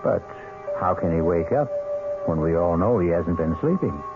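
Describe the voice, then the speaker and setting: A man's voice: sits low, expressive, moderate pace. A man hosting and narrating a 1970s radio mystery in a dramatic style. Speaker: host and narrator. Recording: muffled old radio, music behind.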